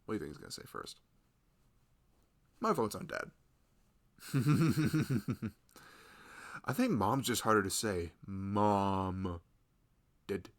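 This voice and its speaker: Man playing a low, gravelly, loving husband. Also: very animated, slow, long pauses, exaggerated voice. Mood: playful. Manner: rambling, soft-spoken, chuckling, often drifting toward a murmur. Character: loving, nervous young husband, father-to-be. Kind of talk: improvised ASMR roleplay, intimate chat.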